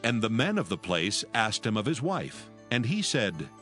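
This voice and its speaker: Low-voiced male scripture narrator over music. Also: very animated, normal speed, bright, forceful tone. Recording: music behind.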